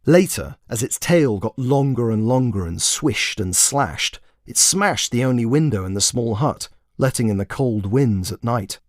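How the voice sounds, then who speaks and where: A man's voice: medium pitch, animated, unhurried, few pauses, dry recording, studio quality. A man narrating a story expressively, in the manner of a storybook reading. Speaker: author and narrator.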